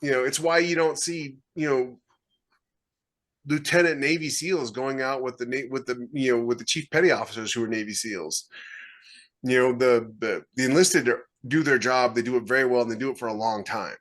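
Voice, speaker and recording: A man's voice: medium pitch, fairly even intonation, normal speed, fairly bright tone. A middle-aged man in a casual panel chat, speaking bluntly and emphatically, often repeating his phrasing. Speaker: podcast co-host. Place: indoor room on a video call.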